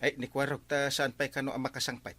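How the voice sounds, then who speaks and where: A man's voice: mid-range voice, flat delivery, fast talker, bright, forceful tone, no echo. A man with an Ilocano accent acting a mischievous, blustering village captain in a comedy drama, full of exclamations. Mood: evasive. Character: village captain, mischievous pleasure-seeking comic husband.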